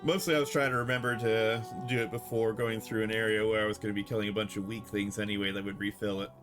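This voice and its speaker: A man streaming a game live, translating Japanese as he goes, relaxed and thinking aloud with many fillers. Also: on the low side, fast talker, faint music behind.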